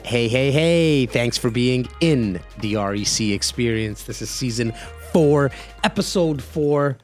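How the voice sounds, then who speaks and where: A man with a Canadian accent hosting a podcast, his voice a bit raspy, talking casually and upbeat with lots of fillers. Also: medium pitch, animated, a little slow, faint music behind. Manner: chatty, filler-heavy, upbeat. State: slightly raspy voice. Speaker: podcast host.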